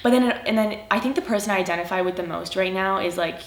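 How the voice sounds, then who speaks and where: A teenage girl with a gravelly voice and vocal fry, talking quickly and casually. She often trails off. She sounds like she is in an echoey room. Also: medium pitch. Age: teens. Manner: filler-heavy, trailing off. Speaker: interviewee. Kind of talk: documentary interview, casual.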